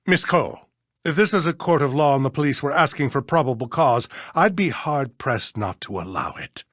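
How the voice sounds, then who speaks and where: An actor playing a grave, measured older male judge in a video game, with a husky voice. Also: mid-range voice, expressive, fairly bright tone, no echo, clean studio sound. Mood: stern.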